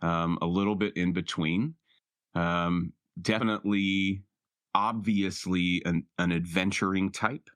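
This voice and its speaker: A man's voice: deep voice, fairly even intonation, measured pace, a bit bright, dry recording, studio quality. A man speaking casually and off the cuff as a player in a tabletop roleplaying game. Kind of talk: casual, unscripted game talk.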